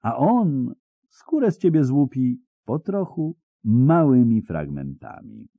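A man's voice: mid-range voice, very animated, takes it slowly, no room sound, phone-quality sound, voice pushed up, full character voice. A man doing a sneering, cruel interrogator. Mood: menacing taunt. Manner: sneering, coldly taunting. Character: cruel, mocking middle-aged male interrogator. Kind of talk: audiobook character reading.

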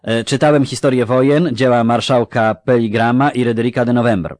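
A man's voice: mid-range voice, steady tone, fairly quick, darkish tone, dry recording, clean studio sound, voice pushed up, lightly acted. Man doing a snooty bookish young guy, precise, a bit smug. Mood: earnest. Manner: precise, faintly superior.

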